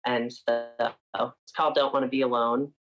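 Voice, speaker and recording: A man's voice: mid-range voice, little pitch movement, moderate pace, fairly bright tone, muffled recording. A man in his twenties from upstate New York, a singer chatting casually as an interview guest and filling his talk with frequent "like"s.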